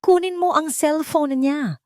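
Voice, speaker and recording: A woman's voice: high-pitched, a little slow, a little mellow, no room sound, studio quality, voice pushed up. Woman narrator as a cold, menacing rich matriarch. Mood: commanding. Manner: cold, commanding, threatening. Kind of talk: Filipino audio drama acting.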